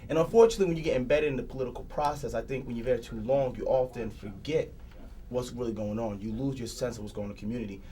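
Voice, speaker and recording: A man's voice: medium pitch, fast, dark tone, slight background noise. A man, a candidate making his case earnestly in a panel discussion. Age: 20s. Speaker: council candidate guest. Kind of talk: political discussion.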